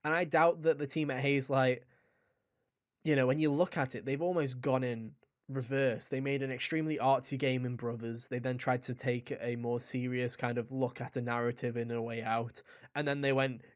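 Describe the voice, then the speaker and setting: A man's voice: mid-range voice, fairly even intonation, normal speed. A man hosting a gaming podcast, talking casually in an enthusiastic, rambling way with some swearing. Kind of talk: casual video game discussion.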